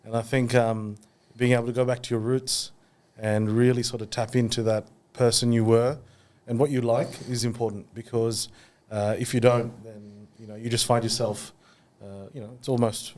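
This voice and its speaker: A man's voice: mid-range voice, level tone, normal speed, darkish tone. An Australian man in his thirties, co-hosting a podcast in casual chat, reflective and thinking aloud with many fillers. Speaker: podcast co-host.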